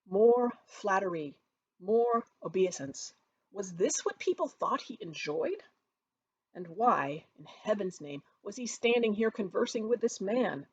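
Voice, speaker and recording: A woman author reading her own novel aloud in an expressive storytelling narration. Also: medium pitch, measured pace, slightly rough, muffled recording.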